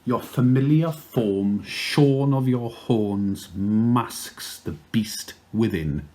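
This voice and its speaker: A man with a Welsh accent reciting poetry in a deliberate, theatrical manner. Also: on the low side, dark tone. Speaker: poet.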